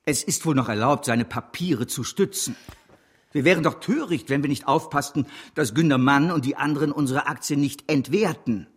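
A man's voice: on the high side, normal speed, husky. An actor playing a grandiose, scheming bank director in a German radio drama, bombastic and impatient as he persuades. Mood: insistent, indignant.

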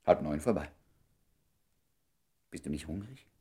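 A man's voice: rumbling low, animated, measured pace, dark tone, breathy edge, exaggerated voice. An actor playing an ardent, devoted man wooing an old love in an intimate German radio drama, urgent and often murmuring. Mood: tender, hushed.

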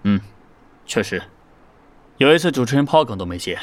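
An actor playing a businesslike, matter-of-fact manager of an idol group, in an audio drama. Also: male voice, mid-range voice, animated, normal speed, a little hiss or hum, natural voice.

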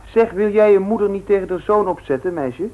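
An actor playing a cheeky, easygoing seventeen-year-old son in a Dutch radio play, with a breezy, evasive manner. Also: male voice, high-pitched, brisk, narrow, tinny audio, natural voice. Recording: very noisy, clicking old radio recording.

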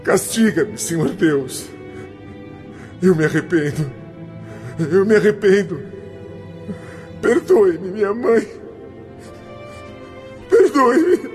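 A man's voice: sits high, lively intonation, extremely slow, long pauses, a little mellow, faint music behind, exaggerated voice. A man playing a guilt-ridden spirit in a radio drama, lamenting and begging forgiveness in an imploring, theatrical manner. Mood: desperate begging.

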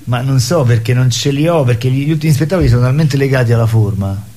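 A man's voice: mid-range voice, fast talker, dark tone. A man chatting casually as a theatre guest, wry, provocative and rambling. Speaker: theatre performer, radio guest. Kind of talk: casual chat.

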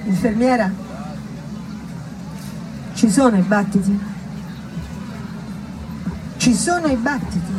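A woman's voice: fairly high pitch, expressive, slow pace, long pauses, over music, a crowd in the background, poor audio. A woman performing a callous, evasive male doctor in a stage monologue, brusque, defensive and insistent. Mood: anxious, self-justifying.

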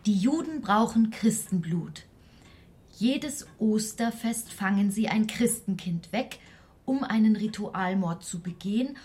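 A woman's voice: mid-range voice, expressive, a little slow, darkish tone, natural voice. An actress playing a credulous, spiteful woman who speaks with insistent indignation in a radio drama. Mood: ominous reading.

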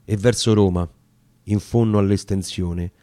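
A man's voice: low-pitched, fairly even intonation, unhurried, dark tone, dry recording, studio quality. A man with a Roman accent reciting narrative dialect sonnets as dramatic storytelling. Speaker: actor reading poetry.

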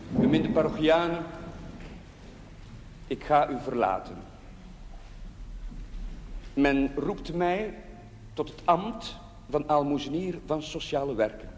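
An actor playing a devout village priest in a television drama, preaching solemnly in measured, emphatic cadences. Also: male voice, on the high side, lively intonation. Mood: solemn, tender. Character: devout male village priest bidding his parish farewell. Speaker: parish priest. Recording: echoey church hall reverb, background hiss.

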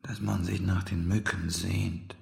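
An actor playing a gruff, grumbling, deadpan interrogator stuck in the snow in a radio drama. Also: male voice, deep voice, unhurried, full character voice. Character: gruff, grumbling absurd snowbound interrogator comrade. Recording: roomy, reverberant studio drama scene.

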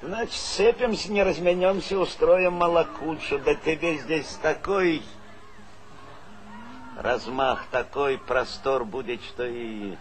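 A man's voice: on the high side, takes it slowly, a little hiss or hum, rough recording. An actor playing a hearty, middle-aged collective farm chairman with a folksy, businesslike manner in a stage comedy. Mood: good-natured, coaxing. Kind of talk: Soviet stage comedy acting.

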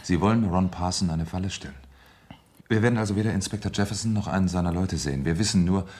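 An actor playing a soothing, slightly hesitant husband in a German radio crime thriller, speaking in a measured way. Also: male voice, sits low, darkish tone, slight background noise, lightly acted. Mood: reassuring. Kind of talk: German radio drama acting.

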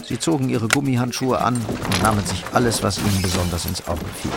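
A man reads dramatic crime narration in a lowish voice with a creaky grain. His telling is measured and matter-of-fact, set over clearly heard music. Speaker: narrator of a crime radio play.

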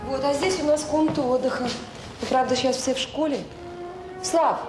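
An actress playing a warm, determined director of a children's home in a radio drama, brisk and plain-spoken with hesitant pauses. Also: female voice, sits high, fairly even intonation, music in the background, lightly acted. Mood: welcoming. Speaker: orphanage director. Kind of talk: naturalistic radio drama acting. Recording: noisy old radio play recording.

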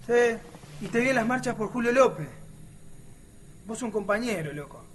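Obrero miliciano argentino, voz aguda. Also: male voice, extremely high pitch, animated, moderate pace, a little hiss or hum. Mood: earnest, hopeful. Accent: Argentine, Rioplatense. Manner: colloquial, slangy. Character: earnest, outspoken working-class male resistance militiaman. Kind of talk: radio drama dialogue.

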